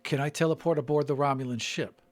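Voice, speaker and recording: Casual tabletop player, medium pitch. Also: fairly even intonation, fast, soft background music. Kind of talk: casual game chat.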